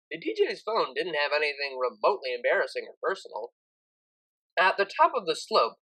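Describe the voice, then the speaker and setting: Female story narrator, scripted third-person reading, highly varied pitch, expressive delivery. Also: low-quality recording.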